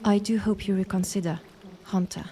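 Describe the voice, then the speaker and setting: A woman playing a calm, stern younger monster sister in an audio drama, with measured, formal delivery. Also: medium pitch, a little hiss or hum, natural voice. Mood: solemn appeal. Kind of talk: dramatic audio roleplay acting.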